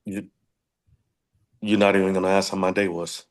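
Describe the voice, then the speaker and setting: A man's voice: low voice, flat delivery, normal speed, close to an everyday voice. A man reading the part of an exhausted, wounded husband confronting his wife at a drama table read. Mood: hurt.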